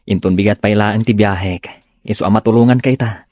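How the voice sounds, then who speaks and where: Guy acting earnest young suitor for audio drama, raspy middling voice, determined, dry close recording. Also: on the low side, steady tone, a little fast, darkish tone, no room sound, natural voice. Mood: concerned. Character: sincere, determined young suitor of modest means.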